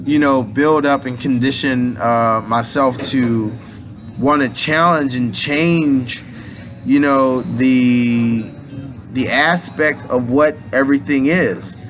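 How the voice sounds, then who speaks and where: A man's voice: medium pitch, takes it slowly, a bit bright, soft background music. A man in his late thirties giving a rambling, casual spiritual pep talk in loose slang, restarting his sentences often. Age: 30s. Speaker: aspiring minister and motivational speaker.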